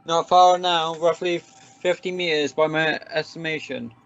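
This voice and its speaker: An actor playing a cynical, pedantic, humourless science officer who speaks formally and haltingly in a sci-fi radio play. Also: male voice, a little high, fairly even intonation, a bit bright, clear, resonant tone. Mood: urgent, matter-of-fact. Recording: dull muffled lo-fi recording.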